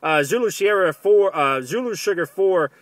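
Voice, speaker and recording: A man's voice: sits high, lively intonation, moderate pace, a bit bright, no echo. A man operating amateur radio, talking over the air and repeating phrases for emphasis. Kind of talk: ham radio contact, spoken to camera and over the air. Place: outdoors in the field.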